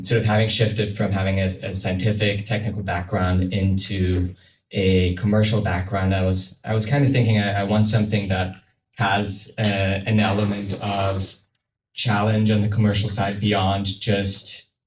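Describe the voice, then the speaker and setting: A man's voice: deep voice, little pitch movement, a little slow. A man from Switzerland speaking informally and somewhat hesitantly as a guest on a panel. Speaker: panel guest from a carbon removal company. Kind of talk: casual spoken panel talk. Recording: roomy, distant mic, noisy event space.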